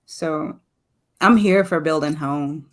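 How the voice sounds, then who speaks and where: A woman in casual, reflective conversation, speaking thoughtfully in halting phrases. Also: sits low. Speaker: poet, guest speaker.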